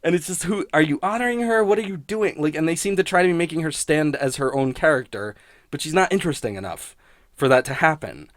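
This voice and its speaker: Man, slightly high voice, blunt and sweary, grumbling, casual rambling critic, dry close sound. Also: animated, fast, dry recording. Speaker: host of a TV criticism show. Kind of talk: casual, rambling spoken review.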